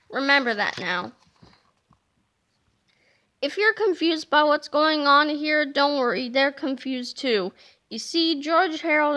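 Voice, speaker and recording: A woman reading a children's book aloud in an animated, playful narrating voice. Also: high voice. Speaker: storybook reader.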